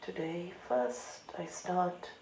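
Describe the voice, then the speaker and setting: Woman, guided meditation, mellow tone, calm and deliberate, long pauses, echoey room with faint noise. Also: lowish voice, gravelly. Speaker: meditation teacher. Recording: roomy echo, light background noise.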